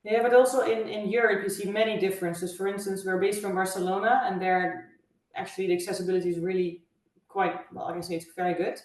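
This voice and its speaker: A woman from the Netherlands with a Dutch accent, a guest answering interview questions in a measured, thoughtful way with frequent fillers. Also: medium pitch, level tone. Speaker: guest, travel agency owner. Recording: echoey room, far from mic.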